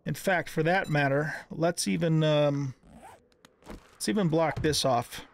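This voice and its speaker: A man's voice: on the high side, measured pace, creaky. A middle-aged man giving casual gameplay commentary, thinking aloud in a conversational way. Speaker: gaming video host.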